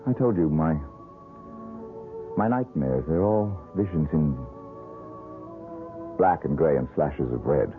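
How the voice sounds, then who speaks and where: A gloomy, haunted painter in a vintage radio play, bitter and self-mocking as he broods over his nightmare. His voice sits extremely deep. It comes through a thin, tinny old broadcast with faint music under it. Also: lively intonation, takes it slowly, long pauses, heavily acted. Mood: brooding. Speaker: struggling painter. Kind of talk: 1970s radio drama acting.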